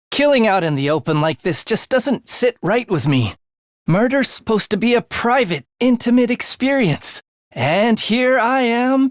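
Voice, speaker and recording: A man's voice: high-pitched, dry recording, clean studio sound. An actor playing a playful, morbid young male assassin in a video game, with breezy, teasing speech that turns theatrical. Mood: flustered, thrilled. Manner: breezy, teasing slang turning breathy and theatrical. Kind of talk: English video game voice acting.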